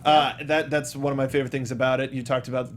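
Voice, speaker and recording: A man hosting a talk show, chatting casually and telling stories in animated, slangy language. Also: medium pitch, fast, faint music behind. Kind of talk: casual chat about video games.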